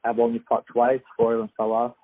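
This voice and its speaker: A man's voice: mid-range voice, completely flat delivery, a little fast, no room sound. A young man from Afghanistan, a guest fighter, answering casually with lots of fillers. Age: young adult. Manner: loose, filler-heavy, plain-spoken. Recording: husky, tinny phone-like line.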